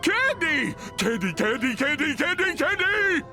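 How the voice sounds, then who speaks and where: Dopey slow starfish dude, cartoon game, childlike, voice all over the place. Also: high-pitched, very animated, sharp tone, exaggerated voice. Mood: excited. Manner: slow-witted, goofy, childlike. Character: dim, slow, good-natured male cartoon starfish. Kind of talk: animated video game voice acting. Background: game music.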